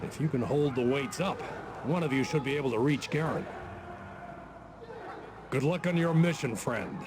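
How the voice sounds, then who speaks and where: An actor playing a steady, helpful ally in a video game, giving formal instructions. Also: male voice, mid-range voice, normal speed, background noise, natural voice. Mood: businesslike. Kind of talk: video game briefing dialogue.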